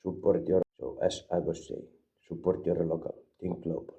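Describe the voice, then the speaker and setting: A Finnish man with a very low, gravelly voice and a dark, mellow tone, talking slowly in a rambling, deadpan way. He reviews a product as a joke. The recording sounds dull and lo-fi. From Finland. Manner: rambling deadpan, mixing languages. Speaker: vlogger reviewing an energy drink. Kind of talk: absurd comedic product review.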